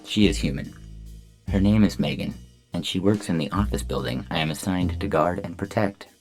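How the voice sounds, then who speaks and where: A man's voice: deep voice, moderate pace, a little mellow, pitched above the performer's own voice. A man voicing a shy, naive and earnest robot in a science fiction audio story, hopeful and lovestruck, speaking carefully with music underneath. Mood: dreamy, smitten. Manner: earnest, wide-eyed, careful wording without contractions.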